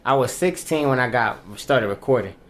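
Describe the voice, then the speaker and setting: A man's voice: mid-range voice, expressive, normal speed. A twenty-nine-year-old man from Dayton, Ohio, a rapper guest speaking in a thoughtful, easygoing way into a desk mic in a small studio. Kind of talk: casual interview. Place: small studio with backdrop, indoors. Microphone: desk mic on boom arm.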